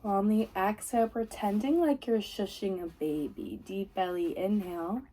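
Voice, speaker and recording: A woman leading a guided meditation as a teacher, giving gentle, soothing, unhurried instructions. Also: medium pitch, slight background noise, low-quality recording. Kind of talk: guided body scan meditation.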